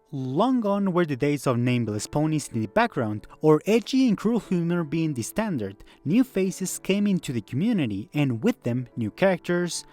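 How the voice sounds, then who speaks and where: Higher-pitched man narrating with lively intonation. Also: no room sound. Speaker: video essay narrator. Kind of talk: scripted narration. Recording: background music under voice.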